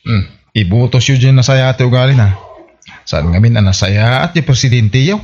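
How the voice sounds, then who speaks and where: A man's voice: medium pitch, animated, fairly quick, darkish tone, muffled recording, natural voice. A man playing a principled village husband, admonishing and questioning in a comedy radio drama. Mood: curious, surprised.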